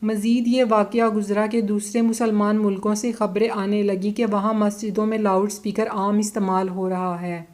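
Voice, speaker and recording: A woman's voice: medium pitch, little pitch movement, moderate pace, hardly any pauses, darkish tone. Woman narrating history in a formal style. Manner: formal, literary storytelling delivery.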